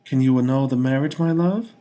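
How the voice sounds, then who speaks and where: A man's voice: medium pitch, fairly quick, dark tone, pitched above the performer's own voice, natural voice. A man voicing a young noblewoman in a fantasy story, a devoted lover who speaks earnestly and gushes with hope. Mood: hopeful pleading. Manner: gushing, earnest, full of hope.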